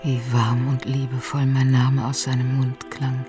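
A woman's voice: very deep voice, monotone, a little slow, over music. An actress playing an anxious, devoted married landlady in a radio drama, deferential and earnest. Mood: tender, worried.

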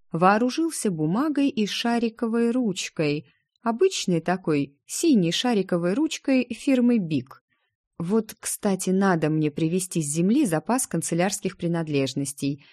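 A woman's voice: on the low side, warm tone, no echo, clean studio sound. A woman narrating first-person fiction from a script, acting out the dialogue as she reads. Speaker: audiobook narrator.

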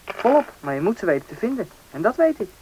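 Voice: medium pitch, sing-song, fast talker, a little mellow, slightly rough. A performer playing a bossy, knowing country boy, confident and impatient, in a radio drama. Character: bossy, knowing boy who grew up in the taiga. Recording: noisy old radio play recording.

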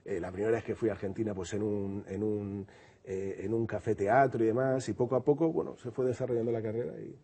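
A singer-songwriter from Madrid with a Madrid Spanish accent, talking reflectively in an interview and often restarting and repeating words. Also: male voice, fairly low pitch, fairly even intonation, fast talker, mellow, husky. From Madrid, Spain. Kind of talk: relaxed interview conversation.

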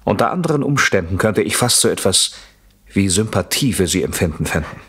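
Coolly menacing, deep-voiced betrayed husband. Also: male voice, very animated, fairly quick, fairly bright tone, husky, full character voice. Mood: polite, controlled. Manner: polite, mockingly courteous, commanding. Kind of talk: German radio thriller acting.

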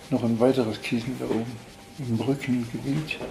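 An older man with a gravelly voice, speaking haltingly and repeating himself. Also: mid-range voice, steady tone. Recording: roomy, echoing, faint noise and rustling.

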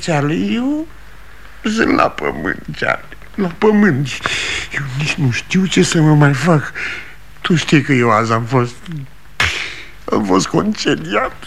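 An old travelling salesman at the end of his rope in a vintage radio play. His high voice swings widely as he pleads, insists and repeats himself, proud and desperate at once. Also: very animated, vocal fry, long pauses, fairly bright tone, slight background noise, exaggerated voice. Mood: weary, ashamed. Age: older. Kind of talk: 1960 Romanian radio theatre acting. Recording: vintage 1960 radio studio recording.

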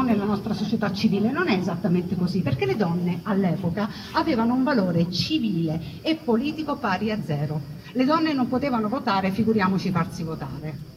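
A woman with a Roman Italian accent delivering a theatrical historical monologue, with emphatic repetition, rhetorical questions and wry colloquial asides. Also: fairly high pitch. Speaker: storyteller performer. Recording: noisy, distant live recording with ambience.

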